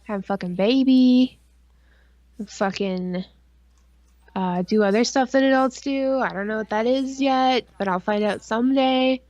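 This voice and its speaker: A woman's voice: on the high side, a little slow, darkish tone, no echo, lo-fi sound. A woman co-hosting a casual livestream with goofy, crude, sweary banter. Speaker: podcast stream co-host.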